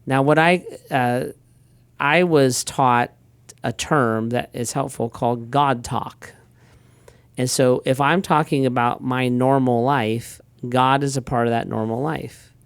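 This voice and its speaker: Middle-aged man, pastor, smooth resonant voice, dry close sound, easygoing storyteller. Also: medium pitch, slow pace, dry recording. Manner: relaxed storyteller, frequent restarts and quoted asides. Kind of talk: casual conversational talk.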